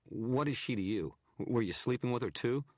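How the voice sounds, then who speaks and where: A frightened, stammering man, as a male audiobook narrator puts him on, raises his voice well above the narration. The tone stays husky and rough and is recorded dry and close, with nervous pleading running through every line. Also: mid-range voice, expressive, moderate pace, no room sound, voice pushed up, close to an everyday voice. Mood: agitated. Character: jittery frightened male photographer. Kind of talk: audiobook character reading.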